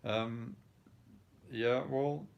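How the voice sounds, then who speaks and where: Slow, gravelly Norwegian man in his forties. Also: medium pitch, fairly even intonation, lo-fi sound. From Norway. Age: 40s. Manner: thoughtful, halting, with frequent ums and restarts. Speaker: channel host reading viewer comments. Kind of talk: casual live-stream chat.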